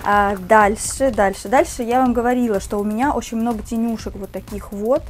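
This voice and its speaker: A young woman with a slight cold, chatting casually to camera as a beauty vlogger in a small room on a lapel mic, with lots of fillers and soft diminutives. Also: a little high, fairly even intonation, brisk, a little hiss or hum.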